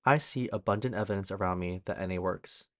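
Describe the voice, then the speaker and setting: A man's voice: low voice, a little fast, dry recording, clean studio sound. A man reading a scripted daily reflection aloud for a recovery podcast. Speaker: guest reader on a recovery podcast.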